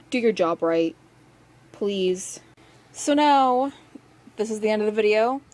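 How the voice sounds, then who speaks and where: A woman's voice: mid-range voice, a little mellow. A woman giving casual rant commentary as a video maker, with a sarcastic, scolding way of talking.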